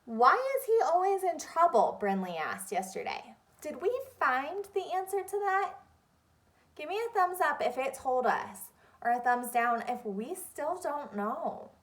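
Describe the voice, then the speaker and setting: Woman, elementary teacher, fairly high voice, very slow deliberate delivery, instructive, repeats key phrases, read-aloud lesson. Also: very animated. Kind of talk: interactive read-aloud lesson to young children.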